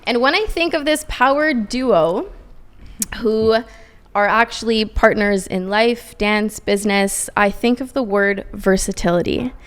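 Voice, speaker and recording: A woman's voice: medium pitch, a little slow. A woman hosting a podcast, opening the show and introducing guests in a bubbly, welcoming way. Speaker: podcast host. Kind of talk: upbeat podcast introduction and interviewing.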